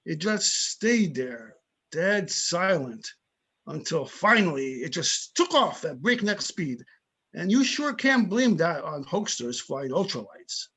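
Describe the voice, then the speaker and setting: A man playing a tough but amicable older police chief in an amateur readers theater, plainspoken and opinionated, with a husky voice. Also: fairly high pitch, sing-song, slow, a bit bright, heavily acted. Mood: matter-of-fact. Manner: plainspoken, opinionated, small-town storytelling. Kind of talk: amateur readers theater reading over videoconference.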